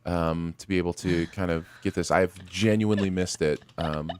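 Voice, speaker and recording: A man's voice: sits low, fairly even intonation, normal speed, darkish tone, no echo. A man co-hosting a casual live show, agreeable and appreciative as he chats with the audience. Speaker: co-host of a live stream and podcast. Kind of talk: casual livestream chat.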